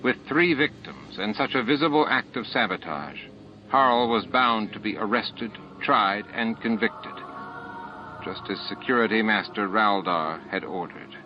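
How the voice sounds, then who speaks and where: A man narrates a novel in a low voice. It is a scripted audiobook reading. The recording is dull, with music underneath. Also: a little slow. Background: added music and sound effects.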